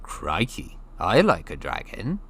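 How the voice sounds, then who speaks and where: A man reading an audiobook, voicing a gruff, hearty, friendly giant with rough, folksy, blustery speech. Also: low voice, wildly swooping pitch, slow, exaggerated voice. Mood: hearty, wistful. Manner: folksy, blustery, dropped grammar. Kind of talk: audiobook character voice.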